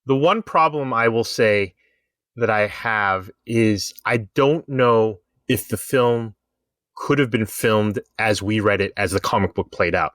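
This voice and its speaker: A man's voice: fairly low pitch, a little slow, a bit bright, no echo, clean studio sound. A man casually discussing pop culture as a podcast panelist, reasoning things through at length in an explanatory way.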